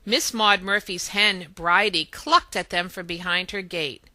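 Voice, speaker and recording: A woman reading a children's story aloud in expressive narration. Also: lowish voice, a little slow, no echo. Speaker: storybook reader.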